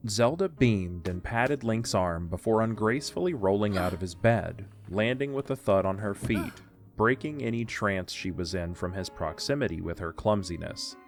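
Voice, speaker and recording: Low-voiced male story narrator. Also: animated, normal speed, soft background music.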